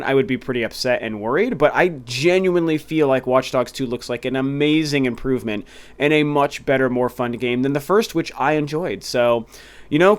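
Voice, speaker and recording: Stuffy, enthusiastic male game reviewer. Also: on the high side. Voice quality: slightly nasal. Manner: enthusiastic, rambling with restarts. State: stuffy nose from a cold. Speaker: video game review host. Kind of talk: casual solo talk to camera.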